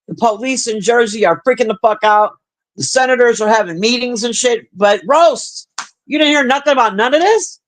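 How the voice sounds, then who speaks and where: Deep-voiced woman, profane and blunt. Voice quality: deep, husky smoker's voice. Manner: profane, blunt, animated banter. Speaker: livestream host reading chat. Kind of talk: casual livestream chat.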